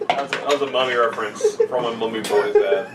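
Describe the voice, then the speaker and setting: A man's voice: mid-range voice, fast talker. A young man chatting casually with friends as a podcast co-host, joking in a crude, profane way. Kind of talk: casual chat among friends. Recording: roomy, distant mic, noisy background.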